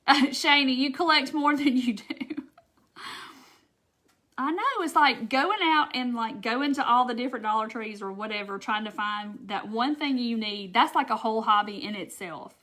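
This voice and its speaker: A woman's voice: a little high, moderate pace, sharp tone. A woman with a Southern US accent hosting a casual craft live stream, chatting folksily with viewers and reading their comments by name.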